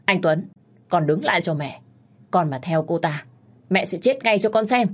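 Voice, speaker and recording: A woman voicing a furious, scornful middle-aged wife and mother, shouting accusations in a dramatic story reading. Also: fairly low pitch, expressive, moderate pace. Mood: threatening.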